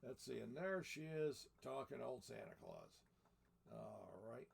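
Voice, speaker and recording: A man's voice: medium pitch, expressive, a little slow, creaky, breathy edge. An older man in the role of Santa Claus reads a picture book aloud, adding folksy, chatty asides as he goes. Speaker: storyteller in the persona of Santa Claus.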